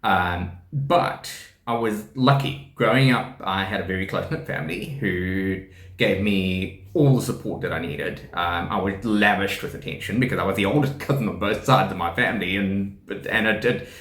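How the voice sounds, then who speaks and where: A man's voice: on the low side, fairly bright tone. Easygoing South African man, echoey room. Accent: South African English. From South Africa, KwaZulu-Natal. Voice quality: speech shaped by profound hearing loss. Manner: rambling, many ums and restarts, laughs often. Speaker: deaf teacher and rugby player presenting a channel. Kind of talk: casual explanatory talk to camera.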